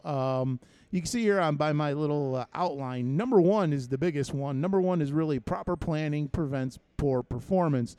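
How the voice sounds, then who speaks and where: A man's voice: medium pitch, warm tone, no echo. A man hosting a casual podcast, teasing his co-host and asking many questions. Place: convention hotel room.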